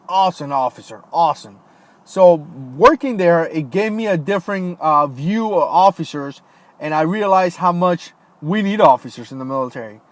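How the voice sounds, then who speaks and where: Ex-marine guy chatting on a livestream, kinda high voice, loose and friendly, says man and dude a lot. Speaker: livestream host, retired Marine. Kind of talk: casual livestream chat reading comments. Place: home, Florida.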